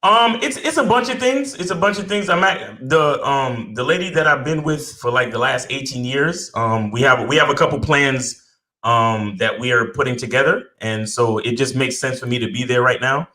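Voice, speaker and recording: A middle-aged man from Brooklyn with a bright, forceful voice, heard in a roomy space. He talks in a relaxed, humble way, with plenty of fillers and restarts. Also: mid-range voice, moderate pace. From born in Jamaica, raised in Brooklyn, New York. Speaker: podcast guest, dating coach. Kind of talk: casual interview chat.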